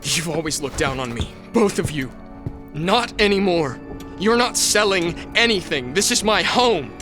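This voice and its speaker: Loud music runs under a volatile young lord of a crumbling estate, his voice high for a man and swinging widely. He is petulant and combative, every grievance landing with emphasis, in period audio drama style. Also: lively intonation, unhurried. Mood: bitter, threatening. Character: aggrieved, volatile youngest heir of a decaying estate.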